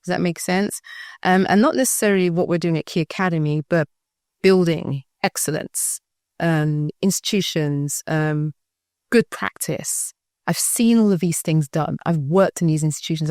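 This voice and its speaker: British woman chatting thoughtfully in an interview, lowish voice, dry close sound. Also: a little mellow, no room sound. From United Kingdom. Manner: reflective, often checks in with the listener. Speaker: school founder, guest. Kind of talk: conversational interview answer.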